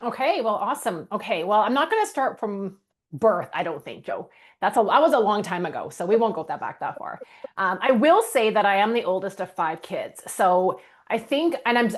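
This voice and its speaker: A middle-aged woman from Canada, whose first language is Ukrainian, earnestly and reflectively telling her life story as a podcast guest. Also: on the low side. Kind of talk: candid personal storytelling.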